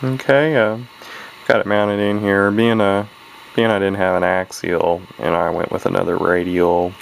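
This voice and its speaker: A man with a deep voice full of gravelly fry talks through hobby repair work. He rambles in a folksy, off-the-cuff way and corrects himself as he goes. It sounds like a handheld camera home video with faint background noise. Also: low-quality recording. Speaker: hobbyist radio repairer presenting his own video. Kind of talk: casual hands-on repair narration.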